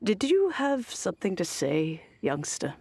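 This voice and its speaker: An actress playing a kindly, nostalgic old woman in a video game, speaking slowly and deliberately with a creaky voice. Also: mid-range voice, big pitch swings, no echo, full character voice. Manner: slow, deliberate, sighing, gently teasing. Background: soft game music.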